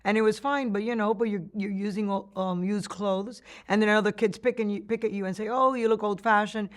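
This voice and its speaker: A woman's voice: mid-range voice, steady tone, fairly quick. Cuban-born woman recalling her past. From Cuba. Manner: reflective storytelling with frequent restarts and laughs. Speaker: interviewee. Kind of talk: oral history interview.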